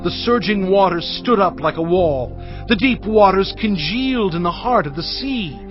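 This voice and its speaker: An actor playing Moses, reciting a song of praise in a slow, exalted, declaiming way. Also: male voice, high-pitched, lively intonation. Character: Moses, devout male leader singing praise. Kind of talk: dramatized scripture recitation. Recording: muffled, with music underneath.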